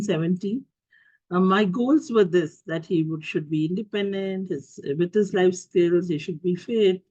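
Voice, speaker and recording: A woman's voice: lowish voice, a little mellow, slightly rough, no room sound. A middle-aged Indian woman with a cold, giving a talk over a video call, stopping and restarting often with fillers. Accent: Indian English. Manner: halting, many fillers and restarts. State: has a cold and cough. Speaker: guest speaker. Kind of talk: talk to an online group. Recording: dull lo-fi call audio.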